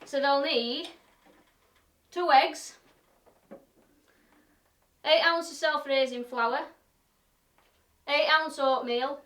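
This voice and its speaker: A woman's voice: high voice, steady tone, extremely slow, pauses often, a bit bright. A woman with a broad Yorkshire accent chatting her way through a live demo as host, in a workshop. Her manner is friendly and self-deprecating. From Yorkshire, England. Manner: chatty, self-deprecating, talks through what she is doing. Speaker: woodturner hosting a live demo. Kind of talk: chatty live-stream demonstration. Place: home workshop. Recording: echoey workshop, voice from a distance.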